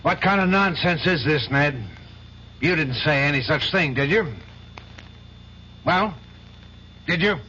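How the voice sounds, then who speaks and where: Indignant, blustering senior lawyer, higher-pitched and hard-edged, 1970s radio drama, muffled hissy sound. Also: male voice, a little slow, long pauses, bright, forceful tone. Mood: stern, incredulous. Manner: blustering, scolding. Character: indignant, domineering male law firm boss. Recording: dull, noisy 1970s radio broadcast.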